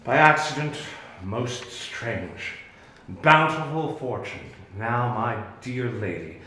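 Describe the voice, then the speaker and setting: A man playing a grave, commanding exiled duke and sorcerer in a deliberate, declamatory Shakespearean stage reading. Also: medium pitch, bright, forceful tone. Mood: solemn, musing. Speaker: actor in dramatic reading. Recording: echoey room.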